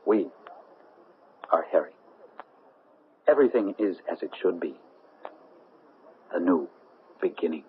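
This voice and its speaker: Low-voiced radio drama everyman. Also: animated, unhurried, long pauses, narrow, tinny audio, slight background noise. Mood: eerily calm, possessed. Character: Harry, a breezy man being taken over by an alien. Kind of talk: 1970s radio drama acting. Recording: thin band-limited 1970s radio broadcast.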